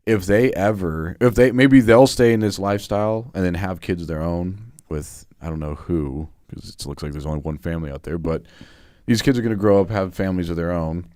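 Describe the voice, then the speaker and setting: A man's voice: sits low, fairly quick, darkish tone, no room sound, clean studio sound. A man co-hosting a livestream chat, talking casually with wry, joking asides. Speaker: co-host.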